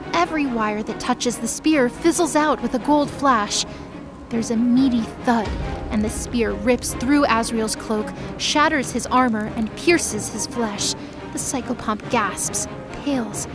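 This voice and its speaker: A woman's voice: on the high side, rough recording. A woman reading scripted narration for an audio drama, in the role of storyteller.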